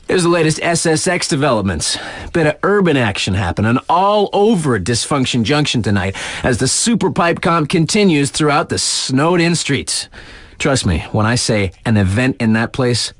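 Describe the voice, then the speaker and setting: An actor playing a hip, easygoing radio DJ in a video game, giving casual mountain event updates with wry, colloquial asides. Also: male voice, mid-range voice, no echo, clean studio sound. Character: laid-back hip radio DJ for a snowboard mountain.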